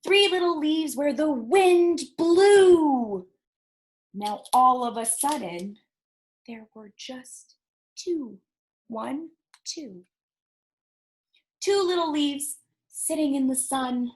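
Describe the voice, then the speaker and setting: A woman's voice: sits high, animated, very slow, pauses often, rough recording. A woman hosting a children's storytime, reading aloud and counting in a singsong, encouraging way for young listeners. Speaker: children's library storytime host.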